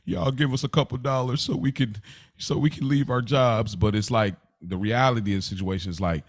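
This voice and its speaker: A man co-hosting a podcast, talking casually and reflectively in halting, deliberate phrases with repeated restarts. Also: medium pitch, fairly even intonation, no echo. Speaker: podcast co-host.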